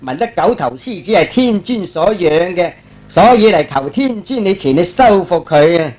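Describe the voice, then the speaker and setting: A man playing a brash, quick-witted male monkey hero in theatrical, emphatic Cantonese radio drama style. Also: sits high, animated, a little mellow. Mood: respectful.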